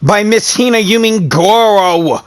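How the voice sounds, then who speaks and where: A man's voice: sits high, measured pace, no echo. A man giving casual, sardonic, grumbling game commentary, with game music behind him. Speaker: game streamer.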